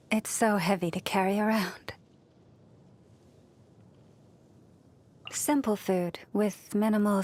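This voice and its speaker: Slow, serene young moon priestess played by an actress. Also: female voice, medium pitch, lightly acted. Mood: mild, grateful. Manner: soft, measured, reflective, breathy at phrase ends. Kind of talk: scripted video game character voice lines.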